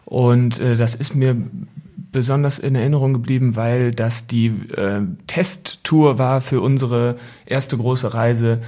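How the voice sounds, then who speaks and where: A young man with an average-pitched voice shares memories in a casual podcast conversation. He is reflective and often hesitant, searching for his words as he goes. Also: steady tone, a little slow, warm tone. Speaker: podcast guest recalling family travels. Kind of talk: casual storytelling.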